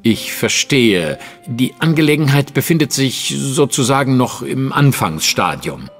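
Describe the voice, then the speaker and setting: A man's voice: medium pitch, sing-song, a little slow. An actor playing a refined, self-assured Victorian detective, narrating his case in an audio drama with dry, formal, precise wit. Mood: dry, guarded. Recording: faint music under the voice. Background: music.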